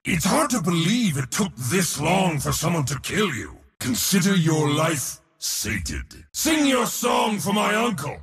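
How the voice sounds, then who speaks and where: Raspy, bellowing, boastful war god. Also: male voice, wildly swooping pitch, measured pace, few pauses, a bit bright, big-room sound, faint music behind, heavily acted. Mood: smug, taunting. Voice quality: raspy, gravelly, powerful. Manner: pompous, theatrical, taunting, swinging between bellows and low sneers. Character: boastful, battle-hungry male god of war, haughty and mocking. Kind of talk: video game hero voice lines.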